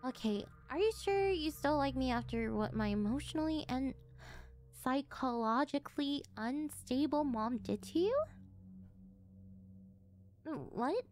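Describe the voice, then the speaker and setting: A woman's voice: high-pitched, animated, a little slow, pauses often, a little mellow, soft background music. A woman voicing a shy, flustered young girl in love, hesitant and stammering, in an ASMR roleplay.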